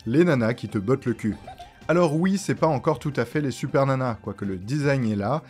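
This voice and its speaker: A man's voice: mid-range voice, expressive, fairly quick, a little mellow, faint music behind. A man presenting a scripted comedic video essay in a sarcastic, informal way, as a YouTuber.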